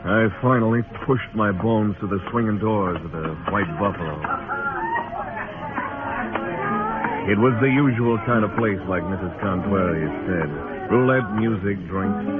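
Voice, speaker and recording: An actor playing a world-weary, laconic frontier marshal with a dry, brooding manner in a radio western. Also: male voice, lowish voice, lively intonation, moderate pace, close to an everyday voice. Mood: grim. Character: hard-bitten, world-weary male US marshal in Old West. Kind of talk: 1950s radio western narration and acting. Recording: tinny old radio recording, music behind.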